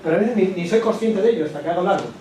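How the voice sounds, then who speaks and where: A man lectures to teachers in an animated way, throwing rhetorical questions out to the room. His voice sits high. He is recorded from a distance in a very echoey hall with clear noise behind him. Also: racing. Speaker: education speaker at a teachers' workshop. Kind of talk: lecture presentation.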